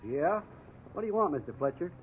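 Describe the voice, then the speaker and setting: An actor playing a mild, easygoing police officer in a 1950s radio drama. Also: male voice, on the high side, sing-song, fairly quick, slight background noise. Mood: hesitant. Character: mild, fair-minded junior male police officer. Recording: tinny phone-like 1950s radio recording.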